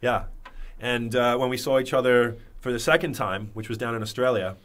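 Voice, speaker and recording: A man, a TV presenter, chatting as a guest in a radio studio, animated and self-mocking. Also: medium pitch, fairly quick. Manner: animated, jokey, self-deprecating. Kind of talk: excited studio chat.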